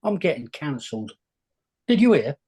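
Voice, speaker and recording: Man playing a husky, drunk, bitter comedian. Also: fairly high pitch, very animated, normal speed, mellow. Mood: drunken confiding. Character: drunk, bitter, dishevelled late-forties male comedian. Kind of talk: screenplay read-through acting.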